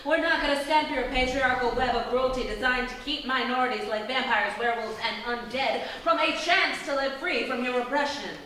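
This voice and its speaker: A woman's voice: sits high, brisk, few pauses, sharp tone. A woman playing a defiant, sardonic werewolf with theatrical delivery in a live comic radio play. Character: defiant, sardonic female werewolf of old pedigree. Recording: echoey theatre, far from mic.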